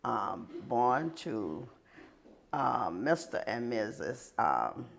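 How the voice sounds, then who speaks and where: A man in his eighties from Atlanta, recounting his birth and family with hesitant pauses. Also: medium pitch, sing-song, vocal fry, fairly bright tone, a little hiss or hum. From Atlanta, Georgia. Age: 80s. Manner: hesitant, with many ums. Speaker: oral history interviewee.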